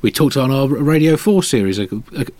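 Deadpan man, dry close sound. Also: mid-range voice, fairly quick, dry recording. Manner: deadpan, hesitant. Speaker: comedy podcast host. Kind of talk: satirical comedy chat.

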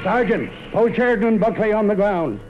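An actor playing a brusque, accusing police inspector, clipped and commanding, in 1930s radio melodrama. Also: male voice, high-pitched, lively intonation, brisk. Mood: stern. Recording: muffled, noisy 1930s radio recording.

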